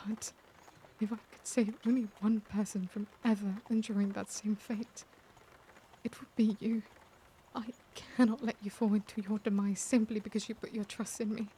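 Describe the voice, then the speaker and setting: A woman acting as an anguished, caring vampire lady in an ASMR roleplay, speaking formally and tenderly in hesitant, breathy half-whispers. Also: mid-range voice, flat delivery, normal speed, warm tone, a little hiss or hum, lightly acted, low-quality recording. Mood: sorrowful, pleading. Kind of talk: ASMR roleplay, intimate dramatic monologue.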